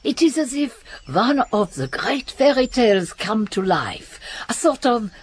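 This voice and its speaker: An actress in a radio drama playing a villain's devoted, macabre consort, husky and fawning. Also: female voice, fairly high pitch, very animated, a little slow, exaggerated voice. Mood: fawning admiration.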